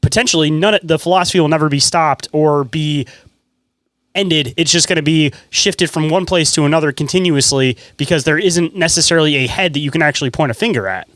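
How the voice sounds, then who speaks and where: A man hosts a podcast in a somewhat high voice. He talks casually and thinks aloud in long, speculative runs. The recording is dry and free of room echo. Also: a little fast, a bit bright. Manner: rambling, speculative, frequent "like". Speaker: podcast host. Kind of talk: casual conversational interviewing.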